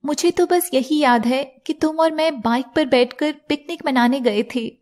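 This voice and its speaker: A woman voicing a scheming young bride in disguise, dramatic and wheedling, in an animated fairy tale. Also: sits high, moderate pace, lightly acted. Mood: evasive. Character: scheming young bride posing as her sister.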